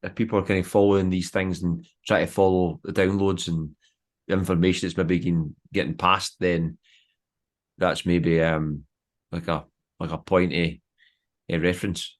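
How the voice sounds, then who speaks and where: Casting: Scottish male host with a deep voice who asks loose, hesitant questions. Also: level tone, moderate pace. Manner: hesitant, filler-heavy questions. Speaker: podcast host. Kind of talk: casual interview questions.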